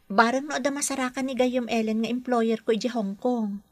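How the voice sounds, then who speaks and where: An actress playing an earnest young daughter with an Ilocano accent in a radio drama. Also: female voice, fairly high pitch, fairly even intonation, fairly quick, darkish tone, no room sound, close to an everyday voice. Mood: anxious. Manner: earnest and pleading. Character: young daughter, hopeful job seeker.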